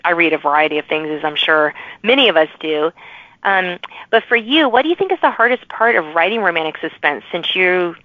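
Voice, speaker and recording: A woman's voice: lowish voice, expressive, a little fast, dry recording. A woman hosting a podcast, chatting casually and agreeably with her guest about writing. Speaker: podcast host and author. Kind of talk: casual interview chat. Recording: dull, lo-fi remote audio.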